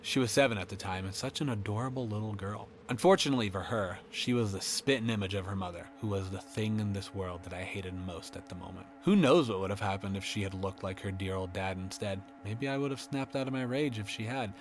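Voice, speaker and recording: A man's voice: lowish voice, fairly quick, hardly any pauses, a little hiss or hum, lightly acted. A man voicing an unrepentant killer hiding in an attic, sinister and darkly glib, in a first-person horror monologue. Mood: cold menace.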